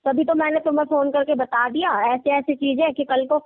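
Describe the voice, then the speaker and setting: High-voiced aggrieved woman on phone. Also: fairly even intonation, brisk, no echo. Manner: aggrieved, insistent, repeats herself. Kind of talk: agitated complaining on a phone call. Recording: tinny, narrow-band phone call recording.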